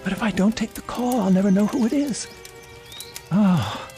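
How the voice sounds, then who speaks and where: A woman's voice: medium pitch, moderate pace, warm tone, faint music behind, natural voice. An actress playing a frightened, stubborn old invalid woman in a radio drama, speaking formally and fretfully. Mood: weary, uneasy. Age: older. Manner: imperious, fretful, formal diction.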